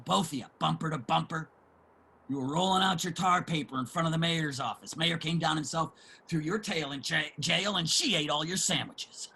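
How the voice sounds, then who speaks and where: A man's voice: fairly high pitch, vocal fry, bright, forceful tone, slight background noise. A man playing a combative, jealous homeless man who taunts in street vernacular in a dramatic play reading.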